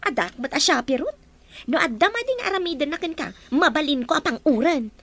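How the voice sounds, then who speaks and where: Feisty best friend for radio drama, played by an actress: sassy young woman, extremely high pitch, animated, brash. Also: a little fast. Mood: eager. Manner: brash, teasing. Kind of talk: Ilocano radio drama acting.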